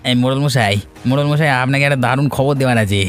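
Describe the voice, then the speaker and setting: An actor playing a sly, scheming village informer and toady in a cartoon, wheedling and goading. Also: male voice, medium pitch, very fast, soft background music, lightly acted. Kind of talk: Bengali cartoon voice acting.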